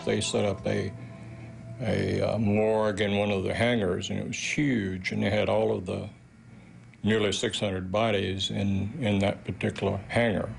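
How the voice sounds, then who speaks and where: A man with a low, heavily gravelly voice recalls events in an interview, pausing and restarting as he looks for words. Music plays clearly behind him. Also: little pitch movement. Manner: halting, searching for words. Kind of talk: interview recollection.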